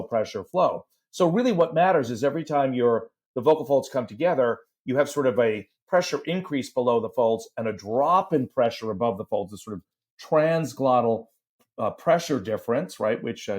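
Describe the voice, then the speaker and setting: Male voice teacher, mid-pitched, explains things patiently and demonstrates with sounds. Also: darkish tone. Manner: explains step by step, vocal demos and sound effects. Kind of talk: conversational podcast explanation.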